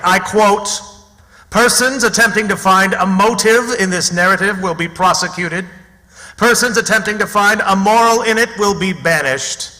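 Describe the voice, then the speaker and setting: Bright, high-voiced man hosting in an echoey hall. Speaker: host and announcer of a radio theatre show. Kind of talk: live stage introduction and radio narration. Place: live theatre stage. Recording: echoey theatre hall recording.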